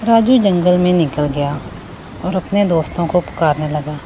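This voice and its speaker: A woman with a slightly low voice narrates a scripted story for children. She is the storyteller, and there is clear background noise with insect ambience behind her. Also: moderate pace, mellow, low-quality recording.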